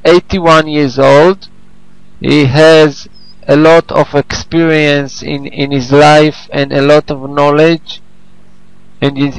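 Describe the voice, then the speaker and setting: A man who is not a native English speaker, teaching informally on a group call in a halting, repetitive way as he searches for words. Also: on the high side, vocal fry, clean tone, dry recording, low-quality recording. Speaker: host and teacher of an online English class. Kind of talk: informal lesson on a conference call.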